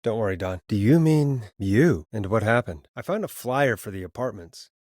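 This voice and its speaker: A man's voice: fairly low pitch, lively intonation, moderate pace, darkish tone, no room sound, clean studio sound, close to an everyday voice. An actor playing a wry radio DJ in a video game, a man who talks in a conversational way. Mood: matter-of-fact. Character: Forrest Nash, wry late-night radio DJ, a grown man. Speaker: radio host.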